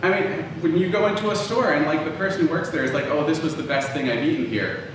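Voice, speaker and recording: A man speaks as a lecturer in a conversational, stop-and-start manner. His voice rings around a heavily reverberant room and reaches a distant microphone, with clear background noise. The delivery is informal, aimed at a live audience. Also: fairly high pitch, quick pace. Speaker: lecturer, audio drama producer. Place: indoor room talk before an audience. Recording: very echoey room, distant mic, noisy.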